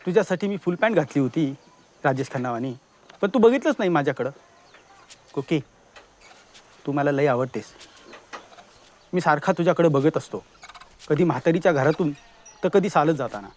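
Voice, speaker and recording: A man's voice: on the high side, expressive, normal speed, pauses often, a little mellow, close to an everyday voice. A man playing a lovesick rustic boy. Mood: earnest longing. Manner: earnest, pleading, rustic speech. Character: shy lovesick village boy confessing to a girl. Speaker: actor.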